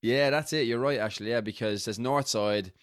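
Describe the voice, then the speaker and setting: A man's voice: mid-range voice, brisk, dry recording, clean studio sound. A man with a Dublin accent hosting a podcast, chatting loosely and casually with his guest. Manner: chatty, loose, friendly asides. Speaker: podcast host. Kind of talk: casual interview chat.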